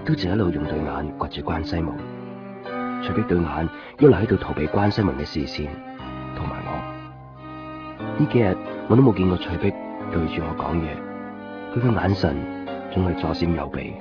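An actor playing an easygoing, kindly young shopkeeper and narrator in a radio drama, with a Hong Kong Cantonese accent. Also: male voice, low-pitched, animated, a little fast, long pauses, over music. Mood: reflective, puzzled. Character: easygoing, kindly young male copy shop keeper, narrator. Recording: muffled 1990s radio drama recording.

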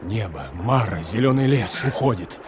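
A man's voice: fairly low pitch, lively intonation, moderate pace, very noisy, lightly acted. A man acting as the storyteller of a radio drama, delivering scripted narration with dramatic feeling. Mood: grim, fading. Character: dramatic male storyteller of river adventure.

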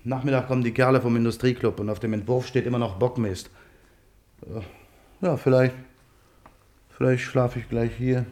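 Ein Mann spielt im Hörspiel einen sturen, gereizten Künstler, der in ein Äffchen vernarrt ist und mit ihm zärtlich redet. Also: male voice, mid-range voice, steady tone, moderate pace, pauses often, a little mellow, lightly acted. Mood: defensive, irritable. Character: stubborn artist husband besotted with a little monkey. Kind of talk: German radio play acting.

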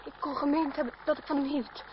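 A woman's voice: high voice, fairly even intonation, fast, lightly acted. An actress playing a young noblewoman in a radio play, impulsive and tender, with an emotional, hesitant manner. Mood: earnest. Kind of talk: Dutch radio play acting. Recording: dull, very noisy old radio recording.

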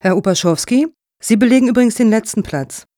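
A woman acting in a radio satire as a brisk, formal foundation juror with a businesslike, measured manner. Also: fairly high pitch, lively intonation, dark tone, no room sound, studio quality, natural voice. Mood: brisk, official.

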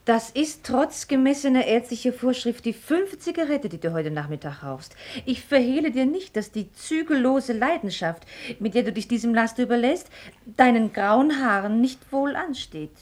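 Radio drama: proud, pert rich daughter, young, articulate and mocking, highly varied melody. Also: female voice, big pitch swings, moderate pace, darkish tone. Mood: teasing. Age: young adult. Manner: articulate, mocking, ornate phrasing. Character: pert, sharp-tongued young heiress, witty and proud. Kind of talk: German radio play acting.

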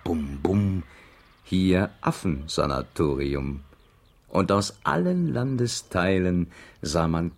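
A theatrical storybook narrator reciting verse for a children's radio play. His low voice is gravelly and swoops expressively as he declaims with playful relish. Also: full character voice. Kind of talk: scripted rhymed narration.

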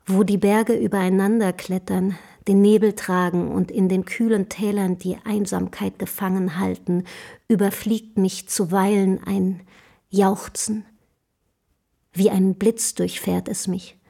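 A passionate young woman of letters in a radio drama. She is bold and provoking, speaking at a medium pitch with pointed, literary phrasing and theatrical fervour. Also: level tone, unhurried, gravelly, dark tone. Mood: rapturous, yearning. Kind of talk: German radio play acting.

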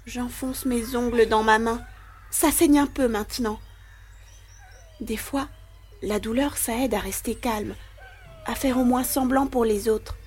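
A woman's voice: a little high, steady tone, a little slow, long pauses, darkish tone, lightly acted. A woman playing a terrified, ashamed young boy in an anxious first-person inner monologue for audio fiction. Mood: nervous resolve.

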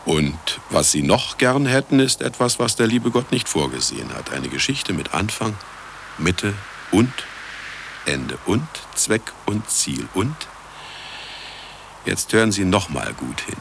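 A sardonic, grandiose broadcaster in a radio drama, lecturing the listeners. His deep voice is gravelly and swoops theatrically from line to line, mocking as it goes. Some ambient noise sits under the speech. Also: very animated, unhurried, a bit bright, heavily acted. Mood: sly, showy. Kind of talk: radio play monologue.

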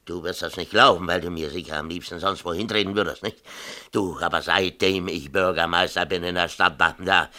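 Deep, forceful, aggrieved father and mayor. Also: steady tone. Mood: bitter, agitated. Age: middle-aged. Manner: agitated, halting, repeats himself, colloquial. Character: defensive, self-pitying small-town mayor and overburdened father. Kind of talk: 1970s German radio play acting.

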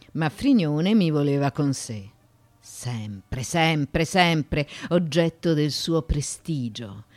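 An actress playing a proud, defiant foreign courtesan of ancient Athens in a radio drama, with a deliberate, declamatory manner. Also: female voice, low-pitched, darkish tone, exaggerated voice. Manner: deliberate, declamatory, with sudden outbursts. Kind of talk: theatrical radio drama monologue.

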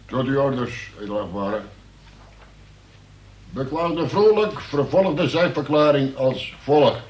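Slow, dutiful police sergeant, echoey. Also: male voice, mid-range voice, animated, a little mellow. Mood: formal, dutiful. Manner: formal, plodding recitation. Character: dutiful police sergeant reading a statement in court. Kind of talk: radio play acting. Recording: roomy, slightly distant radio recording.

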